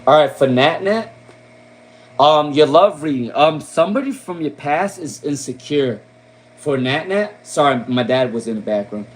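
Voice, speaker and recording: Chatty Long Island man with a high voice. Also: expressive, moderate pace. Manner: repeats names and questions, ends lines with "okay?" and "all right?"; casual, chatty, lots of "um". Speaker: tarot reader. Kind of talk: live-stream tarot reading.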